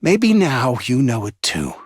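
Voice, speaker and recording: A man playing a grandiose, condescending overseer of a sinister facility in a video game, with theatrical, measured, patronizing delivery. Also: medium pitch, wildly swooping pitch, breathy edge, no room sound, clean studio sound, exaggerated voice. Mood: smug approval. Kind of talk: scripted video game villain voice lines.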